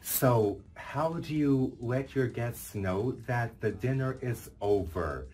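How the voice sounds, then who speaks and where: A man's voice: medium pitch, slow pace, darkish tone. A young man co-hosting a casual conversation, agreeable and explanatory. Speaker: co-host. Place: furnished sitting room indoors.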